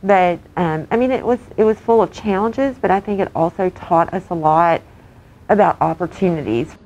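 A woman on university staff speaking in a thoughtful, measured way. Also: lowish voice, a little mellow, dull audio.

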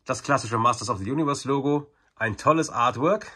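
Casting: male reviewer, forties, a little husky, informal and enthusiastic fan chatter. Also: medium pitch, fairly quick, pushed and bright. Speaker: video reviewer. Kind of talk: casual unboxing review to camera.

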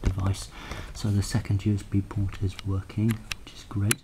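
A man's voice: lowish voice, completely flat delivery, moderate pace. A man giving a casual, unscripted tech demo, hesitant and thinking aloud as he goes. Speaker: presenter of a hardware prototype demo. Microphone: handheld camera microphone. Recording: noisy handheld camera recording with clicks.

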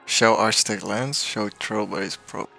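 A man's voice: lowish voice, slow, gravelly, pushed and bright, faint music behind. A man giving casual, slangy playthrough commentary as a gaming streamer. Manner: slangy, informal reactions.

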